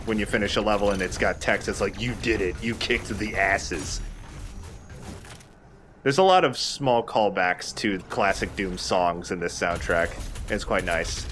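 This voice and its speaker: Low-pitched man casually commentating a video game. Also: measured pace. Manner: sweary, jokey. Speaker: let's play host, player. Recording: game music behind the voice. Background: video game music.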